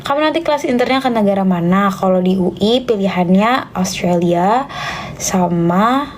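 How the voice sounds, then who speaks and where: A woman's voice: medium pitch, animated, normal speed, slight background noise. A young Indonesian woman answering viewer questions in a casual live stream, slipping in English phrases and sometimes dropping to a whisper. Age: young adult. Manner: chatty, mixes English phrases, drops to a whisper at times.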